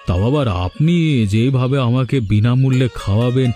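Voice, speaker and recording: পুরুষ কণ্ঠে নরম স্বরের কার্টুনের গ্রাম্য লোক. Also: male voice, medium pitch, moderate pace, warm tone, soft background music, close to an everyday voice. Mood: friendly. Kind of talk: cartoon dialogue.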